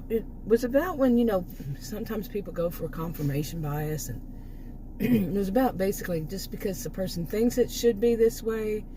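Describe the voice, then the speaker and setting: A middle-aged woman, hoarse from losing her voice, talking in a rambling, unscripted way with many restarts and asides. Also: mid-range voice, noisy background. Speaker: vlogger. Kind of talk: casual unscripted monologue.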